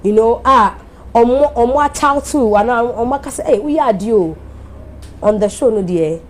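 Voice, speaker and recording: A woman's voice: on the high side, moderate pace, a little hiss or hum. A Ghanaian woman hosting a TV show, giving an emphatic, heartfelt speech that mixes English and Twi.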